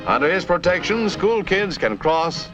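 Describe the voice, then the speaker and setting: A man's voice: on the high side, sing-song, measured pace. An American man narrating a 1950s newsreel in a jaunty, emphatic, punning announcer style. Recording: dull vintage newsreel soundtrack, loud music behind. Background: loud music.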